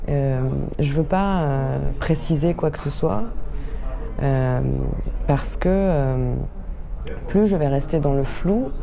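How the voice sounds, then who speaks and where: A French woman, an artist, talking hesitantly about her work, with many filler sounds and pauses, filmed on a handheld camera. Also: low voice, mellow. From France. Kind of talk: casual self-presentation to camera. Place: cultural centre during a New Year event. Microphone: handheld camera at arm's length. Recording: crowd noise around the camera. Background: crowd chatter.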